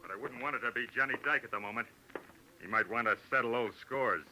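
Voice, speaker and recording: An actor playing a shady, cocky crook in a 1940s style radio drama, wisecracking and guarded. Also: male voice, medium pitch, fast, noisy background, natural voice. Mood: guarded, cynical. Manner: wisecracking, needling, hardboiled.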